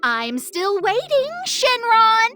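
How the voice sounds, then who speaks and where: A woman's voice: very high-pitched, sing-song, takes it slowly, sharp tone, soft background music, exaggerated voice. A woman voicing a bossy, spirited small female deity who commands a squad in an anime game, with cheeky yet earnest authority. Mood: indignant, cheeky. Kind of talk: English anime game dub acting.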